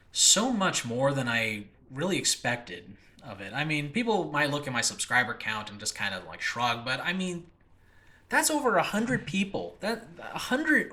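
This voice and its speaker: A man hosting a casual solo podcast, gushing excitedly to his listeners in a rambling, halting, self-interrupting way. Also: fairly high pitch. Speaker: host and creator of an audio drama channel.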